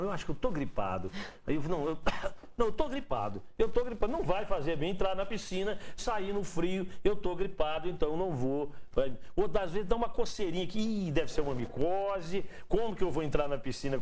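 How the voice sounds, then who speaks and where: A man's voice: on the high side, animated, brisk, a little mellow. Chatty joking man host. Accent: Minas Gerais Portuguese. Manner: chatty, joking, repeats words while searching. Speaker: interviewer host. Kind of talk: casual interview chat.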